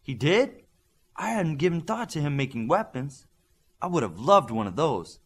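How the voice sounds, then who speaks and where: A man's voice: a little high, big pitch swings, moderate pace, fairly bright tone, slightly rough, voice pushed up, heavily acted. A man voicing a sweet, competitive young superheroine with a French accent in an audiobook. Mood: curious.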